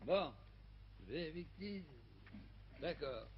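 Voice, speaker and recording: A man's voice: on the high side, animated, slow pace. An actor playing a groggy male Roman soldier who grumbles in a sleepy, put-upon way, on a dull old cartoon soundtrack. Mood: grumbling.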